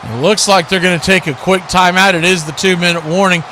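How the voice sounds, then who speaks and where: Guy doing live football play by play, high voice, bit of noise behind him. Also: steady tone, fairly quick, pushed and bright. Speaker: play-by-play sportscaster.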